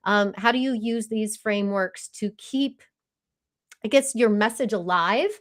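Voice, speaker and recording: Woman, podcast host, medium pitch, conversational, chatty recaps and questions. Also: a little slow. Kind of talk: conversational live-stream interview hosting.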